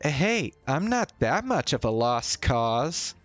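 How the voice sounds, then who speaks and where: A man's voice: mid-range voice, big pitch swings, slow, fairly bright tone, faint music behind, voice pushed up. A man voicing a friendly, teasing young woman in a visual novel read-aloud, with a playful lilt.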